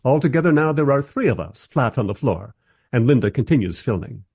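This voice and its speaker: A man's voice: mid-range voice, animated, brisk, gravelly, darkish tone, husky, no room sound, studio quality. An actor playing a wry modern filmmaker who narrates his own story in a scripted radio play. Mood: amused, dry.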